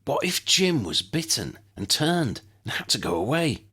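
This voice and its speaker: A man voicing an impulsive, jokey, excitable young man in an audiobook. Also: medium pitch, unhurried, slightly rough, voice pushed up, exaggerated voice. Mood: excited.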